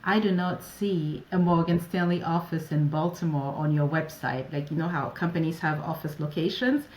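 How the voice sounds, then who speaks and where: A woman in her forties whose first language is French, from Ivory Coast and Ghana, telling stories lively as an interview guest. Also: low voice, moderate pace. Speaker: guest, operations advisor. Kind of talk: casual interview answers. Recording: echoey room.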